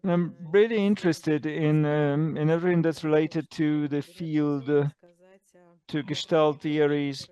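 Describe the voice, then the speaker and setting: A man interpreting an online lecture into English as it is given, halting with frequent fillers and uneven bursts of speed. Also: fairly high pitch, fairly even intonation, warm tone, smooth.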